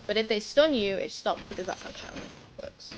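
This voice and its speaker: A woman's voice: medium pitch, lively intonation, brisk, background noise. A woman streaming live, patiently explaining a video game step by step to a newer player. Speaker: streamer coaching a new player.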